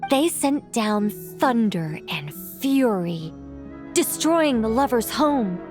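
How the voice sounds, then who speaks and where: An ancient female angel who tells tales in a video game. The voice sits somewhat high, with measured, eloquent phrasing and a playful, wry edge. Music plays behind the words. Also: big pitch swings. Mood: solemn, storytelling. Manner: eloquent, measured, gently teasing. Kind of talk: video game character acting, storybook narration.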